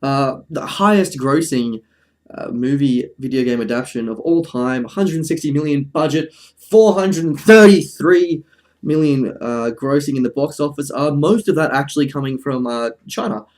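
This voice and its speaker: A man's voice: fairly high pitch, moderate pace, darkish tone. A man hosting a casual video essay, with a dry, jokey manner and sentences he often breaks off and restarts. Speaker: video host.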